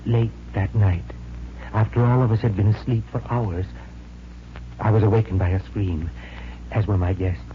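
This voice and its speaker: An actor in a 1940s radio mystery playing a courteous, formal and uneasy owner of a haunted mansion. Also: male voice, lowish voice, fairly even intonation, natural voice. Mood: grave, troubled. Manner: formal, polite, measured. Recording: muffled, noisy 1940s radio recording.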